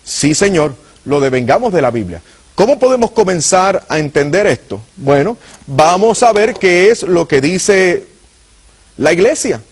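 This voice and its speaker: Husky Puerto Rican preacher man. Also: sits high. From Puerto Rico. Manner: earnest, addresses viewers directly. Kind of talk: apologetics teaching.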